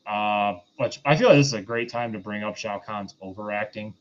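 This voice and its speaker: A man's voice: lowish voice, a little fast. Man with slightly muffled sound, rambling recap. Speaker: podcast host recapping and reviewing a film. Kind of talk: casual review chat.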